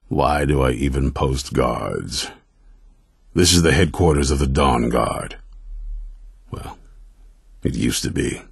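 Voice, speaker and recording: An actor playing a stern, suspicious vampire-hunter commander in a fantasy video game, blunt and commanding, with a gruff gravelly voice. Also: male voice, no room sound, clean studio sound, full character voice. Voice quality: very deep, gravelly, raspy. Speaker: leader of a vampire-hunting order.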